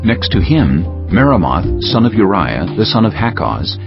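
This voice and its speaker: A man reading scripture as an audiobook narrator, solemn and measured, with deliberate pauses. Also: fairly low pitch, very animated, creaky, dull audio. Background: prominent background music.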